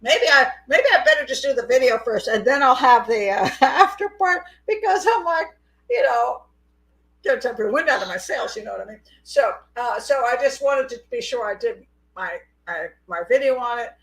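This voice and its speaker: A middle-aged woman hosts a casual live call-in with a fairly high-pitched voice. She talks in a loose, chatty way and often breaks into laughter. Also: lively intonation, moderate pace, fairly bright tone, low-quality recording. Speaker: host.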